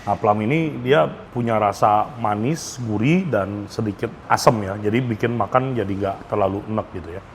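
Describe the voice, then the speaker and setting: A man's voice: on the low side, moderate pace, few pauses. A man with a Jakarta Indonesian accent gives a casual, enthusiastic food review, speaking slangily and mixing in English phrases. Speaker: food vlogger. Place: restaurant. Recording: clear background noise, restaurant ambience.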